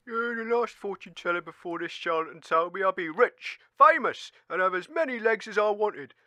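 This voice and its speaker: A man's voice: high-pitched, expressive, sharp tone, no room sound, heavily acted. A man playing a gullible, indignant wasteland local who rambles and stumbles in a radio comedy. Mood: indignant, deluded. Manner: rambling, halting complaint. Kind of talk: comic radio acting.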